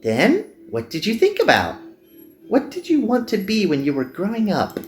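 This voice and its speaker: A man voicing an earnest, gentle young magical girl in a visual novel, pleading and sincere. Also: high voice, huge pitch swings, normal speed, roomy sound, soft background music, voice pushed up. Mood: curious. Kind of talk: visual novel character dubbing.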